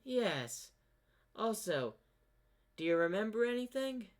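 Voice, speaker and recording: A haughty cartoon mare acted out by a man, pitched well up high. She is boastful and impatient, with a sarcastic, dismissive edge to her words. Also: big pitch swings, takes it slowly, exaggerated voice. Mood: brisk.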